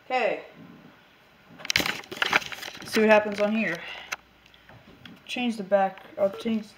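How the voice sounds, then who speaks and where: A woman's voice: mid-range voice, fairly even intonation, takes it slowly. Casual woman vlogger on a noisy recording. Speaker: video maker. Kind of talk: casual hobbyist demonstration vlog. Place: small room at home, indoors. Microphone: handheld camera mic. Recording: noisy handheld home camera recording.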